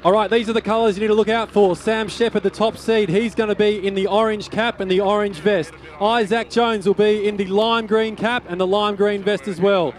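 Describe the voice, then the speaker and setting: A man co-commentating a live swim race, briskly running through the competitors outdoors. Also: sits high, fairly even intonation, a little hiss or hum. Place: outdoors at a beach event.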